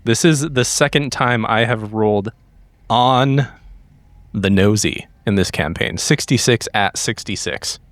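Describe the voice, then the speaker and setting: Deep-voiced man at a game table. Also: unhurried, dry recording. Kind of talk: in-character improvised roleplay and casual game talk.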